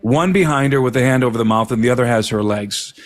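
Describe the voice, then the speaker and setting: Earnest man retelling a witness account. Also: medium pitch. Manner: earnest, detailed, halting repeats. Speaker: investigator. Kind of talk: recounting an interview.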